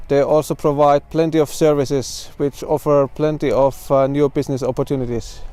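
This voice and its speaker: A man's voice: fairly high pitch, level tone, moderate pace, over music. A man from Finland with a Finnish accent, giving a composed testimonial in non-native English.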